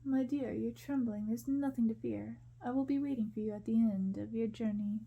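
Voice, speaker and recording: A woman voicing a suave, scheming young prince in a storybook, coaxing and intimate, speaking softly. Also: on the high side, normal speed, gravelly, breathy edge, lo-fi sound. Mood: reassuring.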